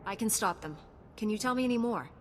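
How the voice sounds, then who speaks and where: Woman as a composed heroic knight, medium pitch. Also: lively intonation, fast, slight background noise, close to an everyday voice. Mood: courteous. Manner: composed, courteous, determined. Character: calm, resolute young female Jedi knight. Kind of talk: cinematic video game hero acting. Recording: long hall reverb, music behind.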